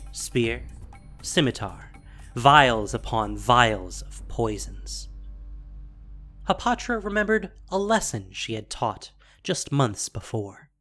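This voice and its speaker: A man narrating a scripted fantasy audio drama with measured delivery and dramatic pauses. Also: mid-range voice, fairly bright tone. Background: faint music.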